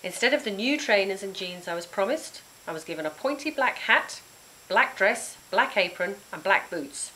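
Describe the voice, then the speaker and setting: A woman narrating a children's storybook in an expressive, dramatic and emphatic way. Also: medium pitch, normal speed, a little hiss or hum, poor audio. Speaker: author reading their own book.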